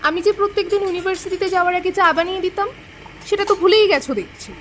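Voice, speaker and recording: A woman's voice: very high-pitched, quick pace, a bit bright, a little hiss or hum. An actress playing a feisty older wife in an audio drama, nagging her husband with affectionate sarcasm. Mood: sarcastic.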